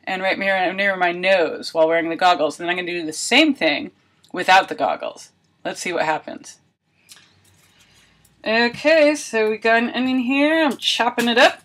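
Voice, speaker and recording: A woman's voice: medium pitch, a bit bright. A woman video blogger talking casually and thinking aloud, with wry, self-deprecating humour. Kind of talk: casual chatty vlog narration of a homemade experiment.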